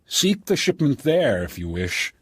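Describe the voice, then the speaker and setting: Solemn, husky alien chief. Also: male voice, mid-range voice, sing-song, dry recording. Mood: grave. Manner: formal, measured, ceremonious. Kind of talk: video game dialogue.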